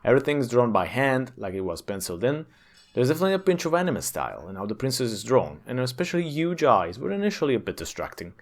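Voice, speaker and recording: A man's voice: mid-range voice, sing-song, fast talker. A man reading a scripted video game review as a narrator.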